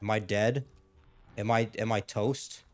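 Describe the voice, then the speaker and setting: A man's voice: lowish voice, fairly even intonation, a little slow, a little mellow. Slightly ill man rambling over gameplay. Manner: rambling, hesitant, with frequent restarts and fillers. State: a little ill, losing his voice. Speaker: gaming YouTuber. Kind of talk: casual playthrough commentary.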